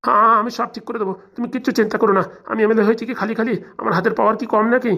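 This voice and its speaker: Henpecked old cartoon husband played by a woman, talks really fast, begging and apologizing. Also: on the high side, steady tone, creaky, fairly bright tone. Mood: eager, hasty. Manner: apologetic, pleading, hasty. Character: henpecked older husband, pleading local politician. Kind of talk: comic cartoon dialogue.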